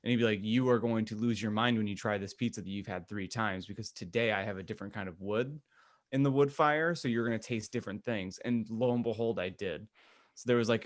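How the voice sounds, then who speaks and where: A man's voice: on the low side, dry recording, clean studio sound. A man co-hosting a podcast in casual chat, telling things in a loose, slangy way. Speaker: podcast co-host.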